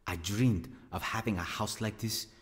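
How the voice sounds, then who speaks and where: An actor playing an earnest middle-aged evangelist and devoted son in a radio drama, with measured delivery. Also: male voice, lowish voice, breathy edge, no room sound. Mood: moved. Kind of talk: radio drama narration and dialogue.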